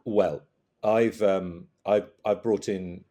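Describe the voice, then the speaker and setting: A man, a comedian guesting on a podcast, chatting casually in a halting comic way with repeated words. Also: deep voice, steady tone, mellow. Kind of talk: casual comic panel chat.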